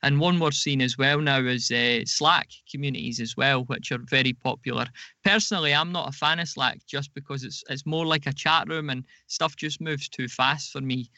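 A man's voice: mid-range voice, normal speed, bright, forceful tone, no room sound. A man speaking as a podcast guest in an interview, explaining things conversationally, often repeating words and correcting himself.

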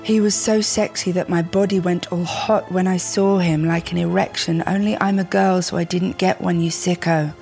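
Woman acting a creaky, melodramatic teenage goth vampire girl. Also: fairly low pitch, steady tone, normal speed, few pauses, darkish tone, soft background music. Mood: breathless, earnest. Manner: overwrought, theatrical. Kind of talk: dramatic reading of fan fiction.

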